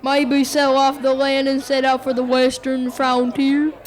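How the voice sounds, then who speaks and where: A woman's voice: high voice, monotone, unhurried, a faint crowd. A girl playing a dry, teasing village gossip in a school radio play. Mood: teasing, musing.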